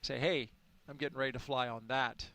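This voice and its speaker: A man's voice: medium pitch, steady tone, brisk, pushed and bright. A man giving live launch commentary in a chatty, enthusiastic way, with frequent fillers.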